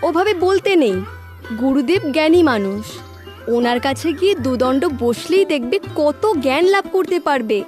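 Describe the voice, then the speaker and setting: A woman's voice: high-pitched, expressive, normal speed, darkish tone, soft background music. A woman playing a fondly scolding mother. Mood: coaxing. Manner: fond teasing reproach. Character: affectionate mother of a young boy, gently scolding.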